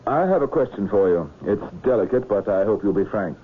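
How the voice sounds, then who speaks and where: An actor playing a composed murder investigator, asking measured, formal and insistent questions in a vintage radio drama. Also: male voice, lowish voice, lively intonation, husky. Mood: composed, probing. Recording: muffled, noisy old radio recording.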